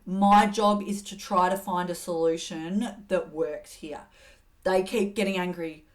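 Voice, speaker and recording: A middle-aged woman hosting an advice show, coaching in a steady, earnest way and acting out example phrases. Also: lowish voice, a little slow. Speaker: host giving communication advice. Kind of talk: advice talk to camera.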